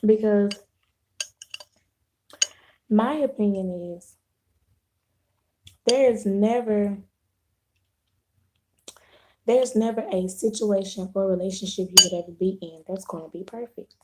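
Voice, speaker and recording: A hoarse woman with a sore throat, hosting a casual live video chat with her viewers, rambling and restarting her sentences. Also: medium pitch, noisy background. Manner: unhurried, rambling, clears throat, frequent restarts.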